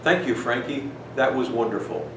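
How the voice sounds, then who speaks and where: A man speaking as a priest reading a bedtime story to young children, with gentle, deliberate phrasing. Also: mid-range voice, sing-song. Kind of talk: slow storybook reading with simple explanations for children. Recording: roomy, distant microphone, clear background noise.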